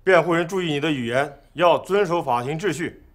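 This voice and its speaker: An actor playing a stern male presiding judge in a film, speaking in a formal, authoritative and deliberate way. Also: a little high, lightly acted. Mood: stern, solemn. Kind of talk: formal courtroom procedure in a film.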